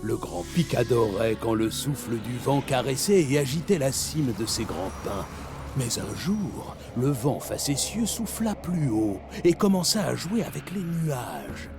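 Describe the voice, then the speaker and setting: A man playing an old grandfatherly cartoon animal who tells legends to children in a slow, dramatic, gravelly way. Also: mid-range voice, sing-song. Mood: solemn, dramatic. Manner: slow dramatic storytelling with theatrical pauses. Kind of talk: animated series dub, storytelling. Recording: music behind the voice.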